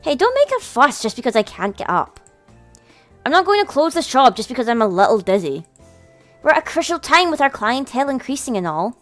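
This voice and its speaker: A woman's voice: sits high, big pitch swings, fairly quick, fairly bright tone, faint music behind. A woman voicing a weary, stubborn young man, a put-upon older brother with a dry, protesting manner.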